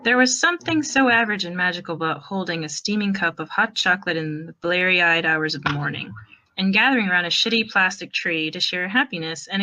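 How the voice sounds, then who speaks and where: A woman's voice: lowish voice, bright, forceful tone, low-quality recording. A woman reading fan fiction aloud as an amateur narrator, in a wry, informal storytelling manner.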